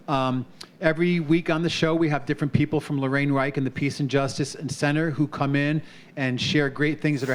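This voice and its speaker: A man hosting a community talk show, upbeat and chatty as he introduces his guest and the next song. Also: a little high. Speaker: host.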